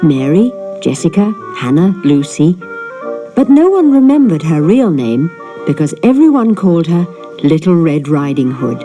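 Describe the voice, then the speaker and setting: A woman narrating a children's fairy tale in an expressive storytelling manner. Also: low voice, normal speed, faint music behind, rough recording. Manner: animated storytelling. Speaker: storybook reader.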